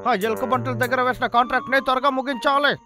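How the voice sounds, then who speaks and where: An actor voicing a scheming, excitable, short-tempered male logger in a dubbed cartoon, wheedling and exclaiming theatrically. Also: high voice, very fast, over music, close to an everyday voice. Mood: scheming, muttering.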